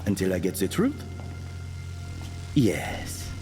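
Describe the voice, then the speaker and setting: Paranoid office assassin, insistent and accusing, voice jumps around a lot, comedy drama podcast. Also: male voice, deep voice, wildly swooping pitch, slow, a little mellow, soft background music, exaggerated voice. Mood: menacing, insistent. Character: suspicious male company assassin interrogating a coworker. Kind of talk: comedic audio drama acting.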